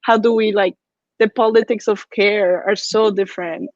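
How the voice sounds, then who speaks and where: A woman's voice: medium pitch, fairly even intonation, normal speed, no room sound, lo-fi sound. A woman from Colombia, a guest artist speaking thoughtfully in a casual video-call interview.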